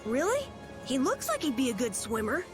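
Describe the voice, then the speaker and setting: A woman's voice: high-pitched, wildly swooping pitch, moderate pace. An actress playing a feisty, inquisitive and direct young girl in a video game. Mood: curious. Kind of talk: scripted video game voice acting. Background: game music.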